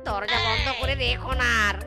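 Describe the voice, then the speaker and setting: A woman's voice: a little high, a little fast, music in the background, lightly acted. A woman voicing a timid, hesitant youngest fox brother who pleads in a comic cartoon. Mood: desperate.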